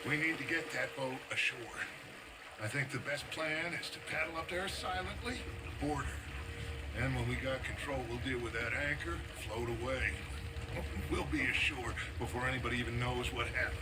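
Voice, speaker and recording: An actor playing a middle-aged American outlaw gang leader in a video game, grandiose, preachy and persuasive. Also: male voice, medium pitch, normal speed, noisy background, close to an everyday voice. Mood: commanding. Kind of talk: video game dramatic acting.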